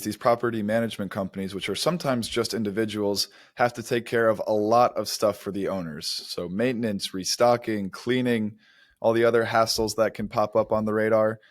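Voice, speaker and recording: A man's voice: on the low side, brisk, no echo. A man co-hosting a podcast, giving conversational commentary on business. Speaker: podcast co-host.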